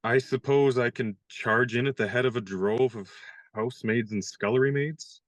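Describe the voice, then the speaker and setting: A man playing a wry young gentleman valet. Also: medium pitch, fairly even intonation, normal speed, no room sound, lightly acted. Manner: dry, good-humored and polite. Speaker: actor in radio play. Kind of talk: radio play acting.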